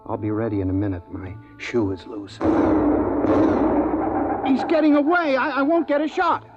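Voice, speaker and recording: An actor playing an earnest, excitable trophy-hunting client in a 1950s radio drama. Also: male voice, sits high, moderate pace, lightly acted. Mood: frustrated. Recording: dull vintage radio recording, music behind.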